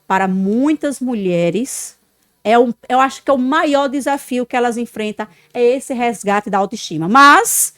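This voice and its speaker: A woman hosts a podcast interview, her voice sitting a little high. She talks expressively and often adds little tag questions. The sound is close and dry. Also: a little slow, dry recording. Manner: expressive, frequent "né", loud greetings. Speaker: podcast host.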